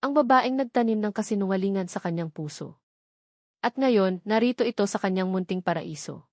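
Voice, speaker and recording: A woman narrator with a Filipino accent tells a scripted romantic drama. Her delivery is measured and theatrical, broken into short phrases with pauses. Her voice sits at a medium pitch, in a close, dry recording free of room sound. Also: lively intonation, a little mellow, no room sound.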